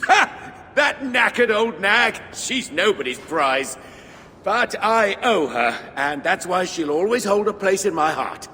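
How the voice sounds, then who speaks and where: An actor playing a friendly, plain-hearted dwarf animal trader in a video game, with a folksy storytelling manner. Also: male voice, high-pitched, big pitch swings, slow, sharp tone, slight background noise, full character voice. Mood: fond, teasing. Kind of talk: video game cutscene acting. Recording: long hall reverb.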